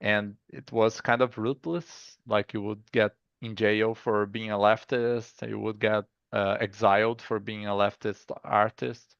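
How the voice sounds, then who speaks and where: A man with a Brazilian accent, a guest in a casual interview, who talks haltingly and often restarts with "like". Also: on the low side, flat delivery, creaky, a bit bright, smooth, no echo, dull audio. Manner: halting, stops and restarts, says 'like' often. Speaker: guest artist.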